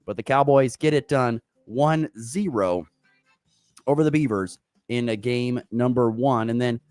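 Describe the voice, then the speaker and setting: Hoarse upbeat male sports radio host. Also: mid-range voice, measured pace, darkish tone, dry recording, clean studio sound, soft background music. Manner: upbeat, rambling with many uh fillers. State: hoarse, slight sore throat. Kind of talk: morning sports talk show.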